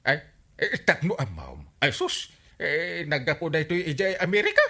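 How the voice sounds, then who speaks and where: An excitable, genial village captain in an Ilocano radio comedy. His bright, slightly high voice swings widely in pitch, and he speaks with an Ilocano accent full of exclamations. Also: normal speed, exaggerated voice. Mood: teasing. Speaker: comedy drama actor. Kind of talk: Filipino radio comedy drama acting.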